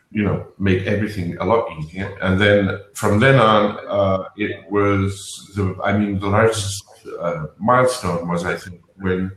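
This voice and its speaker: A man with a Portuguese accent speaks in a fairly low voice. He chats casually, circling back and restarting his sentences. The room gives his voice a clear echo. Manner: rambling with frequent restarts. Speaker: panel guest. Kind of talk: casual panel chat. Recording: roomy echo.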